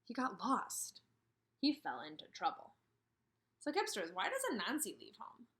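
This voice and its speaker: A woman in her thirties teaching and reading aloud to kindergarteners, expressive, with questions and emphatic pauses. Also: medium pitch. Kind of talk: instructional read-aloud for young children. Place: indoors, room with a brick wall.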